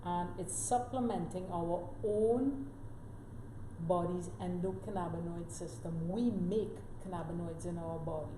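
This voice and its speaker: Woman, earnest explainer, slightly low voice, roomy echo, faint hiss. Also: measured pace. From Trinidad. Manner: earnest, explains step by step with frequent "right?". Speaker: guest expert. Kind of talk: explanatory talk show interview. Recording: echoey room with faint noise.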